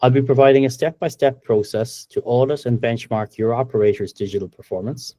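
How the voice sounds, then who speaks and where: A middle-aged Irish man giving a business webinar presentation over a video call, upbeat and steady, with frequent ums. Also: medium pitch, brisk, no room sound. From Ireland. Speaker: webinar presenter, company co-founder.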